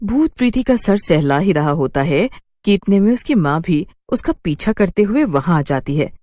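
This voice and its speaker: Female narrator for animated horror tales: slightly low voice, animated intonation, dramatic scripted read, dry studio-like sound. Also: normal speed, no echo. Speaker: story narrator.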